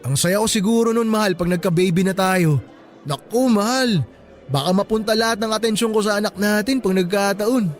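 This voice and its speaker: A man's voice: high-pitched, fairly quick, close to an everyday voice. A man with a Filipino accent playing an affectionate, playful boyfriend in a radio drama. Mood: happy, loving. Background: background music.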